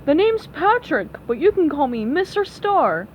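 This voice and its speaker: A dim but friendly male cartoon starfish played by a woman reading a storybook. He talks in a high, lively, simple and eager way, over faint noise. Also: a little slow. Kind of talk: read-aloud children's cartoon storybook. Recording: dull, noisy recording.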